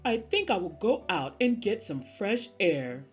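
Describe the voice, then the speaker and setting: A woman's voice: mid-range voice, sing-song, measured pace, slightly rough, highs cut off, faint music behind, exaggerated voice. A woman voicing a polite, well-meaning, misunderstood male wolf in a children's storybook. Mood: content. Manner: courteous, wistful, exclaiming when baffled.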